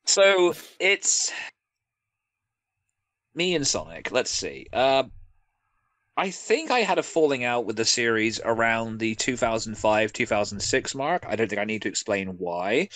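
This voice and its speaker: A man's voice: mid-range voice, animated, unhurried, fairly bright tone, dry recording. A British man chatting casually as a guest, rambling with joking asides. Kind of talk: casual discussion.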